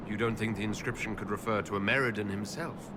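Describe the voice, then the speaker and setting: An actor playing a male elven Inquisitor in a video game, a considerate leader with a measured, questioning way of talking. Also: on the low side, steady tone, background noise, close to an everyday voice. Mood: inquisitive.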